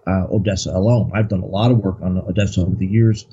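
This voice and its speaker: A man's voice: low voice, steady tone, fast, mellow, husky, highs cut off, soft background music. A man as a guest commentator, talking in a rambling, hesitant way with many fillers. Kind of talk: casual political discussion.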